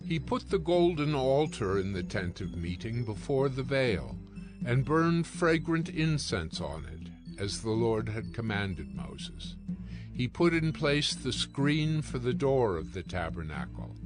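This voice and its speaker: A man narrating scripture from a script in a measured, solemn reading manner. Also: mid-range voice, animated. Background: music.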